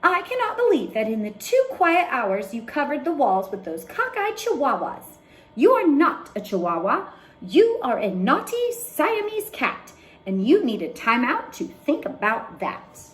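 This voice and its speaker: A woman's voice: high-pitched, wildly swooping pitch, unhurried, pitched above the performer's own voice, heavily acted, rough recording. A woman voicing a stern mother cat who scolds and coaxes her kitten. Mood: scolding. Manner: bossy, scolding.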